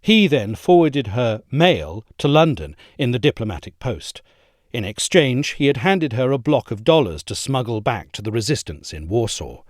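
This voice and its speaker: Lively-toned male essay narrator. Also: mid-range voice, dry recording, clean studio sound. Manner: measured, expressive storytelling read. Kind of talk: scripted narration.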